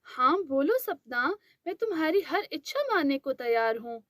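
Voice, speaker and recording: Cartoon fairy, played by a woman, calm, reassuring, very high voice, unhurried. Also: dry recording, clean studio sound. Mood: gentle. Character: gracious wish-granting female fairy.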